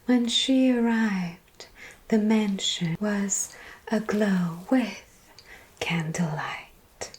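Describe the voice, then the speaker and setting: A woman voicing a teasing female vampire who tells scary stories in a soft, whispered ASMR roleplay. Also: medium pitch.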